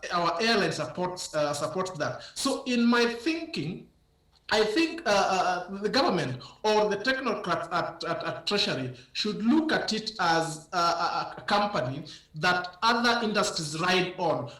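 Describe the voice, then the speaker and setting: A man with a slight cold gives analysis over a remote link, speaking in a fairly high voice. He halts often, repeating words and filling gaps with "uh." The sound is roomy and echoey. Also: expressive, fairly bright tone. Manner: halting, many repeated words and fillers. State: has a cold. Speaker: business analyst guest. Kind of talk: live news interview.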